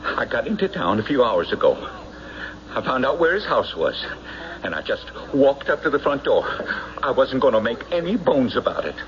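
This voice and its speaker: A man playing a desperate, hot-tempered war veteran in an old radio drama, speaking in an agitated, halting, insistent way on a muffled recording. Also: medium pitch, big pitch swings, noisy background, close to an everyday voice. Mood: anxious, pleading.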